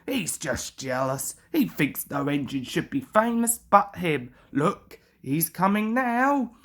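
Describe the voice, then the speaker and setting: A friendly, reassuring male storybook engine voiced by a woman reader. He talks kindly and without hurry, with an animated rise and fall. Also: lowish voice, slow pace, voice pushed down, heavily acted. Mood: soothing. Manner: soothing, friendly. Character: kindly, soothing male steam engine Edward.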